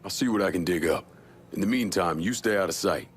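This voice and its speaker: A man playing a tough, calm young vigilante in a crime drama game, speaking in a dry, terse way. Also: fairly quick, husky, soft background music. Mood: dry, steady. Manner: dry, terse, low-key. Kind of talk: dramatic video game acting.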